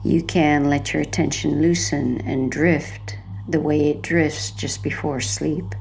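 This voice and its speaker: Slow soothing lady doing sleep hypnosis, hushed n gentle, lots of vocal fry. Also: low-pitched, fairly even intonation, slight background noise. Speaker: hypnotherapy narrator. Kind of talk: sleep hypnosis, guided relaxation.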